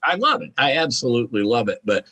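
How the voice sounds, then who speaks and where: Man, husky rough-edged voice, medium pitch, podcast guest, casual, emphatic, enthusiastic. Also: big pitch swings, fast talker, bright, forceful tone. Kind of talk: casual discussion.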